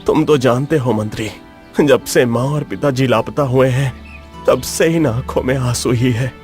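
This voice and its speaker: A man's voice: medium pitch, unhurried, a little mellow, soft background music, close to an everyday voice. A man playing a sorrowful, earnest young prince in a cartoon moral story.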